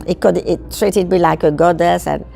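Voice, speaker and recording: A woman in her eighties from Belgium, with a Belgian French accent, speaking English as a second language and recalling her childhood in a halting way that repeats words. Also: fairly low pitch, fairly even intonation, creaky, dark tone, slight background noise. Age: 80s. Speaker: guest. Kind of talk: recounting childhood memories.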